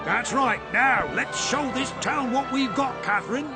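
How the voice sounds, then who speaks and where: A man voicing a cocky, boisterous showman in a comic animated game. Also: extremely high pitch, a little slow. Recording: loud music behind.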